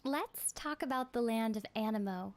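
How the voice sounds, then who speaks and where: A woman's voice: a little high, moderate pace. A woman voice actor streaming a game, plainly reading the dialogue choices aloud.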